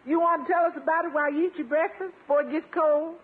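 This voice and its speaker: A woman's voice: very high-pitched, steady tone, fast talker. A woman playing a long-suffering household cook in 1950s radio comedy, dutiful and drily deferential. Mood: humouring. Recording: tinny 1950s radio recording.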